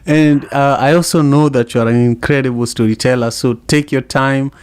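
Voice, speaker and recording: A man with a mid-pitched voice hosts a podcast interview. He talks in a reflective, conversational manner, as if thinking through his points while addressing his guest. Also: steady tone, moderate pace, a little mellow, clean tone. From Africa. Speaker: podcast host.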